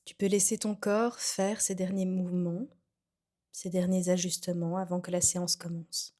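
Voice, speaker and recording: A woman guiding a relaxation session as a yoga nidra instructor, soothing and unhurried, with phrases that trail off softly. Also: on the low side, flat delivery. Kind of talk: guided meditation, calm instruction.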